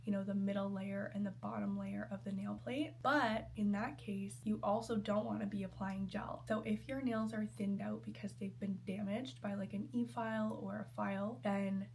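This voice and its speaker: A woman's voice: medium pitch, steady tone, moderate pace, gravelly, hardly any pauses, darkish tone, slight background noise. A young woman, a nail technician, explaining step by step in a friendly way while sick and a little nasal. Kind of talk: explanatory tutorial talk.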